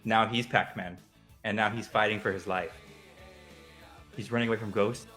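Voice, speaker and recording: A man's voice: fairly low pitch, steady tone, normal speed, bright, forceful tone, soft background music. A man co-hosting a casual show, bantering and rambling in informal slang. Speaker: show co-host. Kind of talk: casual banter about video game movies.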